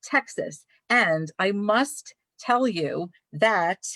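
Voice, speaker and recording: A woman's voice: medium pitch, animated, drawn-out words, a bit bright, no room sound. A woman from Brooklyn with a Brooklyn accent, hosting a live library author chat in an effusive, chatty way. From Brooklyn, New York. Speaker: librarian host.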